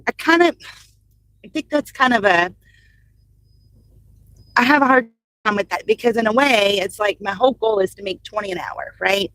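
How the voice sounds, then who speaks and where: A woman's voice: medium pitch, moderate pace, long pauses, fairly bright tone, no room sound, rough recording. An older American woman from the Louisville area, chatting casually on a live stream while driving deliveries, rambling and answering viewers' comments, a bit congested from allergies. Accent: Southern Indiana / Louisville area. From United States. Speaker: vlogger driving deliveries, reading live chat. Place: in a car.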